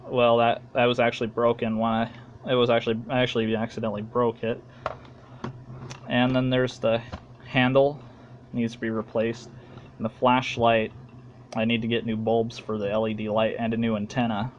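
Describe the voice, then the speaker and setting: A man with an American accent giving a casual, halting, plain-spoken show-and-tell as a hobbyist making a home video. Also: mid-range voice, completely flat delivery, muffled recording, a little hiss or hum.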